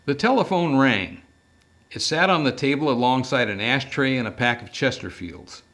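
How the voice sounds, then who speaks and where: A man reading detective fiction aloud as an author, in a measured, deliberate storytelling manner. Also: mid-range voice, lively intonation, fairly bright tone. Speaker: author reading from own book. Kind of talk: scripted narration, audiobook-style reading of a detective novel.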